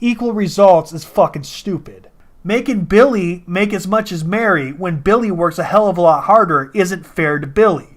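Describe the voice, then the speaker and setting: A man with a high-pitched voice gives casual reaction commentary. He is blunt and argumentative, swearing freely as he pushes his opinions. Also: normal speed.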